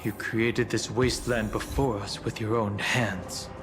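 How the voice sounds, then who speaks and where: A man's voice: lowish voice, steady tone, a little slow, noisy background, lightly acted. A male voice actor playing a stern, proud, distrustful lord in a video game, speaking in a terse, controlled, accusing way. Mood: cold, accusing. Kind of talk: scripted video game dialogue.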